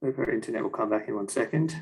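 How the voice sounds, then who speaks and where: Husky, very low-voiced woman moderator. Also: rapid-fire, darkish tone. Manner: apologetic, brief interjections. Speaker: event moderator. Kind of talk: online seminar moderation. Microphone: video call.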